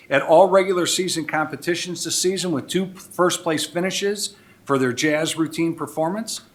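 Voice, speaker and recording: A man in his sixties with an American accent giving a public recognition speech as a mayor, partly reading, with informal, folksy asides. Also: fairly high pitch. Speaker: mayor presiding over a village board meeting. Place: indoor village board meeting room.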